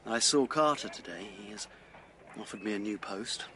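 An actor playing a bitter, struggling writer and husband in a period radio drama, speaking formally and stiffly with self-pity. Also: male voice, fairly low pitch, moderate pace, pushed and bright, a little hiss or hum. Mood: weary, wounded.

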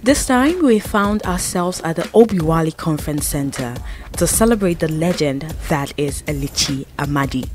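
A Nigerian woman narrates a scripted event report as its presenter. Her voice sits on the low side, and music plays clearly behind her. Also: expressive, normal speed.

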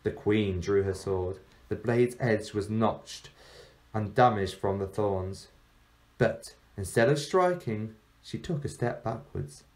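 A man reading a story aloud like a teacher, in measured phrases with deliberate pauses. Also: lowish voice, animated, a little mellow. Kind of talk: storybook narration.

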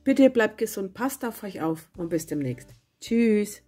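A woman with a cold and a hoarse voice, chatting casually as she narrates a home baking video and correcting herself as she goes. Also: mid-range voice, lively intonation, measured pace, heavy background noise. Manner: chatty, self-correcting, homely. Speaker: cooking channel host. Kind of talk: casual recipe voice-over. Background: soft guitar music.

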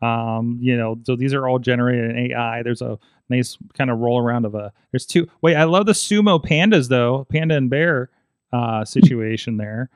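A man's voice: mid-range voice, normal speed, clear, resonant tone, no room sound. Rambling man in a dry studio. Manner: rambling, many fillers and restarts. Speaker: podcast host. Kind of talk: casual tech chat. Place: small studio with foam panels. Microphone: studio mic on boom arm, headphones.

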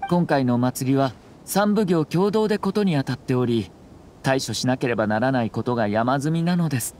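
A man voicing a refined, courteous young official in an anime game, speaking formally and in a measured way. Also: mid-range voice, animated, darkish tone, slight background noise, lightly acted. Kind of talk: Japanese anime game voice acting.

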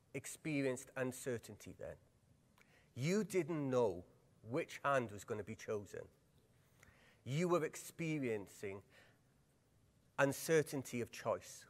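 Welsh man presenting in a big hall. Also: mid-range voice. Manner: lively, engaging, asks the audience questions. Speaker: workshop presenter. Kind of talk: conference workshop talk. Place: large conference hall. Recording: long hall reverb.

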